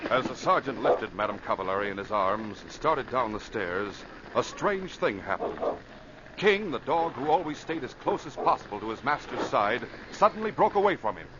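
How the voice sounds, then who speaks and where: A man's voice: mid-range voice, very animated, normal speed, natural voice. A man narrating an adventure serial in a dramatic, scripted old-time radio style. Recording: noisy, muffled old radio recording.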